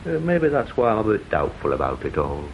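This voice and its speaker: Police sergeant, lowish voice, swooping intonation, deferential, hesitant, radio detective drama. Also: male voice, wildly swooping pitch, brisk. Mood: hesitant, doubtful. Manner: hesitant, respectful. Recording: dull, slightly noisy radio recording.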